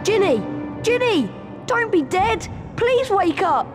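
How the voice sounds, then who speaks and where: A woman's voice: extremely high pitch, big pitch swings, takes it slowly, over music, exaggerated voice. A woman voicing a brave, earnest young schoolboy wizard in a video game, speaking with urgency. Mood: desperate, pleading. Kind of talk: video game character acting.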